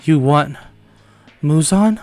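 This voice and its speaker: A man's voice: a little high, slow, darkish tone, soft background music. A man voicing a shocked, stammering female demon in an anime fan story. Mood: stunned fear.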